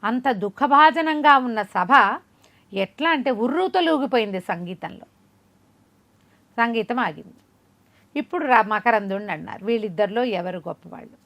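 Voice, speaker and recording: A woman narrating a folk tale as an oral storyteller, in an expressive, conversational way with rhetorical questions. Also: on the high side, a little slow, long pauses.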